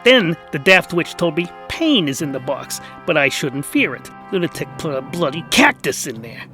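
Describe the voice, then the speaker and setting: A man voicing a surly, defiant wolf adventurer in a comic fantasy story, with a sardonic, deadpan manner. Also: fairly high pitch, moderate pace, soft background music, full character voice. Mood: exasperated.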